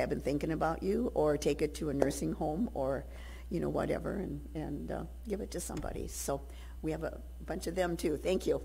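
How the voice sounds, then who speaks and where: An older woman with a hoarse, low voice today, giving rambling, grateful church announcements at a lectern mic in a sanctuary. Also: warm tone, poor audio. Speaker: congregation member giving announcements. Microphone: lectern microphone.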